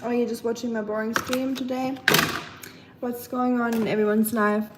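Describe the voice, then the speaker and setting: A woman's voice: on the high side, level tone, moderate pace, very noisy. A young woman with a German accent chatting casually with her live stream viewers while she washes dishes in her kitchen. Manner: chatty, talks to chat while washing dishes. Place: home kitchen. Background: clattering dishes and cutlery.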